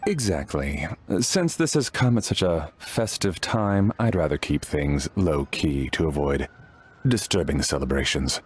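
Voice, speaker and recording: A man's voice: a bass voice, expressive, a little slow, breathy edge, heavily acted. A man playing a polite, dutiful household butler in a video game, speaking formally and obligingly. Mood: polite, agreeable. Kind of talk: English video game voice acting.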